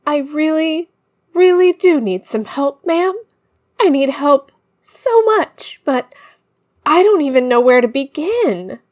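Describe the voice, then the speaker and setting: A woman's voice: sits high, lively intonation, takes it slowly, a little mellow, dry recording, studio quality. A woman playing a frantic, chatty young woman on the run in an audio drama, with a rambling, confiding manner. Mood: panicked, frantic. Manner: rambling, informal, breathless asides to listeners. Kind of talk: first-person audio drama, confiding podcast monologue.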